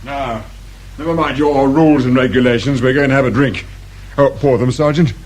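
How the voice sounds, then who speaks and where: A man's voice: mid-range voice, moderate pace, close to an everyday voice. An actor playing an insistent, overwrought bereaved father in a British radio drama. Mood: agitated, insistent. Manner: insistent, forceful.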